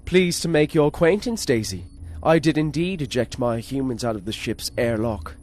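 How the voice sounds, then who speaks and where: An actor playing a polite, formal shipboard artificial intelligence with a gleeful murderous streak, in a 1950s-style space radio serial. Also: male voice, mid-range voice, lively intonation, moderate pace, darkish tone, close to an everyday voice. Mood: courtly, flirtatious. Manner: formal, courteous, precise wording. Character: polite, formal male ship's computer with murderous glee.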